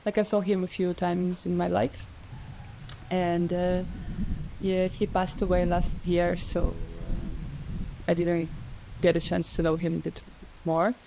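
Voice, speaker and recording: A woman's voice: lowish voice, flat delivery, takes it slowly, gravelly, noisy background. A woman from Ukraine, likely in her thirties, speaking as an interview guest, hesitant with many fillers and frequent laughs. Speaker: freediver guest. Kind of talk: relaxed interview conversation.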